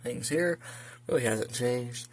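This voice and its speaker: A man talking casually and haltingly through an unscripted show-and-tell as a hobbyist. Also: medium pitch. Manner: halting, hesitant, informal. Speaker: hobbyist video host showing a weather radio collection. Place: indoor room at home. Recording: noisy home video with clicks.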